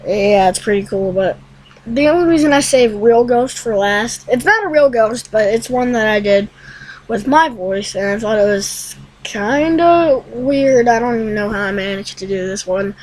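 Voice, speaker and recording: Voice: medium pitch, normal speed, rough recording. A young teenager hosting a casual screen-recorded tutorial in a rambling, hesitant way. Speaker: tutorial host. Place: room at home.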